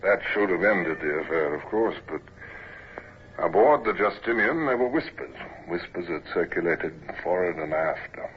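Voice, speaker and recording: An older naval officer looks back on his youth in a low, rueful voice. The old radio recording is muffled, with a faint hiss behind it. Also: animated, normal speed. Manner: rueful, self-mocking. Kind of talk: 1940s-50s radio acting, narration.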